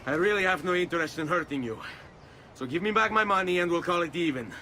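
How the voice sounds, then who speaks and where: A man's voice: high voice, expressive, quick pace, bright, forceful tone. A man playing a determined young Italian nobleman in a video game, speaking with an Italian accent. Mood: guarded. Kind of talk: video game cutscene acting.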